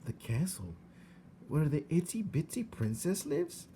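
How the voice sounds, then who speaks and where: A naive, hopeful servant girl of twelve from a storybook, acted out by a man, her voice pitched well up. She speaks in a rustic, exclaiming way, with clear noise in the recording. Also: wildly swooping pitch, a little slow, dark tone. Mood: delighted. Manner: rustic, ungrammatical, exclaiming speech. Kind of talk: storybook character reading.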